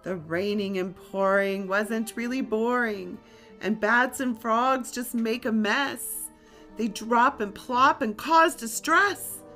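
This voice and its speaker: A woman voicing an earnest young storybook witch, chanting rhyming spells in a drawn-out singsong way. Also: on the high side, fairly bright tone.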